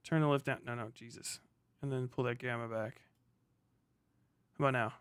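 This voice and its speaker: A man streams casually in a close, dry recording with no room sound. He talks off the cuff in a medium-pitched voice, half to himself, and swears freely. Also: steady tone, moderate pace, smooth, no room sound. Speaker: livestream host. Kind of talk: casual unscripted livestream chat.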